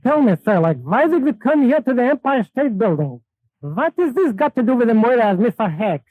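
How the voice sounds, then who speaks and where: A very high-pitched, animated sidekick to a great detective. He is timid and full of questions, with a fretful wisecracking manner. It sounds like a dry 1930s radio comedy broadcast. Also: a little fast, no room sound, phone-quality sound, exaggerated voice. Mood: curious. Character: Doctor Watson, timid, questioning sleuth's sidekick.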